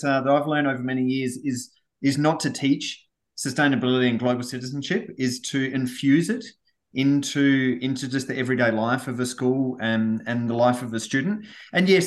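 An Australian man, a guest on a podcast interview, chatty and quick to repeat words as he gets going. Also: medium pitch. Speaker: guest, head of sustainability.

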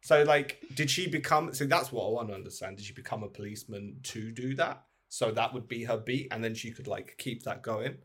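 A man's voice: medium pitch, brisk, few pauses, a bit bright, husky. A man in an informal, rambling, sweary chat about films, acting out imagined lines as he goes. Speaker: podcast host. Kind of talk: casual film chat.

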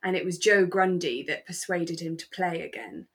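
A British woman co-hosting a relaxed fan podcast, chatting in an effusive, sentimental way. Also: fairly low pitch, moderate pace. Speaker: podcast co-host. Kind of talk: relaxed fan chat about a radio drama.

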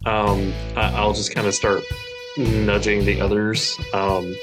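A man's voice: on the low side, little pitch movement, slow, over music. A man playing a tabletop game, describing his character's moves hesitantly with many fillers. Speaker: player. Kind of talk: casual tabletop play.

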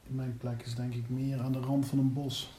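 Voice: mid-range voice, level tone, normal speed, warm tone. A person in reflective casual conversation, searching for words and often repeating them, as if being interviewed. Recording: echoey room, faint background noise.

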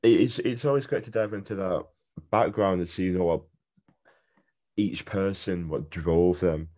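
A man's voice: deep voice, moderate pace, dark tone. A man with a British accent hosting a casual podcast interview, chatty and enthusiastic. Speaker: podcast host. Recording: dull, lo-fi sound.

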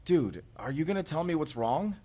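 A man playing an excitable, friendly companion in a science fiction audio roleplay, speaking casually and expressively. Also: a little high, normal speed. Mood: puzzled, uneasy. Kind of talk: immersive first-person audio roleplay acting.

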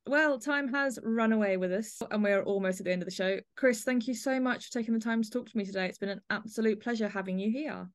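A woman's voice: fairly high pitch, fast talker, hardly any pauses. A woman hosting a podcast, chatting conversationally as she reviews audio drama. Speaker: podcast host.

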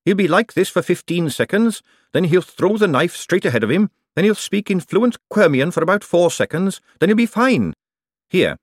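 A man voicing a weary, firm young kitchen girl in an audiobook, brisk and matter-of-fact. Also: high-pitched, very animated, dry recording, studio quality, voice pushed up. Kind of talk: audiobook character dialogue.

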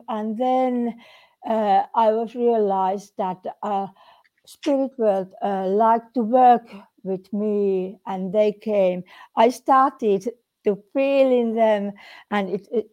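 A woman with a Finnish accent, a native Finnish speaker, giving a personal account haltingly as she searches for words. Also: mid-range voice, dark tone. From Finland. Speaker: guest, trance medium. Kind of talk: personal account in a group conversation.

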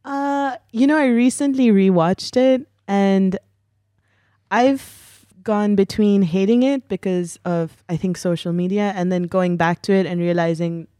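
A woman from Pakistan, a podcast guest telling stories in casual chat with quick, dark humour. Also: mid-range voice, dry recording, studio quality.

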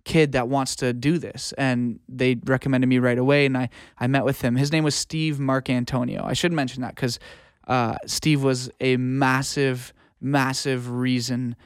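A man's voice: mid-range voice, little pitch movement, moderate pace, smooth, no room sound, clean studio sound. A man speaking informally and earnestly as a guest in a casual interview, giving career advice. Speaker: guest, music producer and audio engineer.

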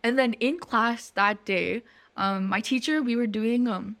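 A woman's voice: a little high, measured pace, a bit bright. A young woman from Nepal who lives in Canada, chatting casually as co-host, full of fillers and restarts. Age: young adult. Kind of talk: casual chat.

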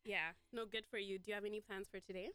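A woman's voice: mid-range voice, steady tone, fast talker, pushed and bright, clear, resonant tone, no echo, clean studio sound. A woman hosting a radio show, chatting casually with a guest in an interview.

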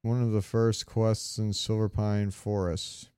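Smooth-voiced man chatting on a podcast. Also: on the low side, little pitch movement, a little slow, mellow, no echo, studio quality. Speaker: podcast co-host. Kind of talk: casual gaming podcast chat.

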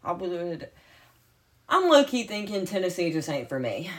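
A woman's voice: lowish voice, normal speed. Lispy woman, late twenties. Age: late 20s. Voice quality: slight lisp. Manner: animated, jokey, swings loud and quiet. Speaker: podcast co-host. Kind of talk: casual chat.

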